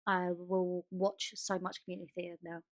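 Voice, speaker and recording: A woman's voice: lowish voice, level tone, moderate pace, dry recording, studio quality. A woman co-hosting a podcast in casual chat, gushing and emphatic, often repeating herself for stress. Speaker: podcast co-host.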